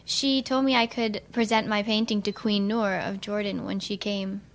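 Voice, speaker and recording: A woman's voice: medium pitch, flat delivery, normal speed. A young woman answering questions as a radio interview guest. She speaks earnestly and reflectively, and laughs easily.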